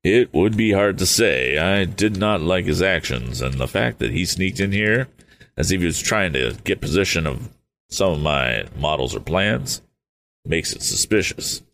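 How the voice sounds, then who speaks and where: Man reading aloud as a deliberate father-inventor, deep and gravelly. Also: animated, fairly bright tone, exaggerated voice. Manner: measured, deliberate. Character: steady older male inventor, cautious father.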